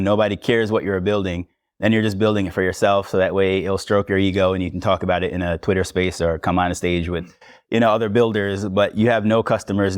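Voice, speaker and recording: A man with a Jamaican accent, a podcast host speaking on a conference panel in a relaxed, conversational way. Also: lowish voice, no echo. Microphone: lavalier mic.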